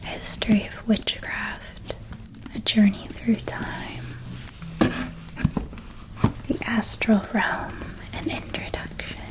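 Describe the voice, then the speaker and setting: Woman playing a slow, whispering witch mother. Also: fairly high pitch, level tone, poor audio. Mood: gently admiring. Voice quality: soft, breathy whisper. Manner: unhurried, tender, softly enunciated. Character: gentle doting witch parent helping a child pack for school. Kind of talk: whispered ASMR roleplay.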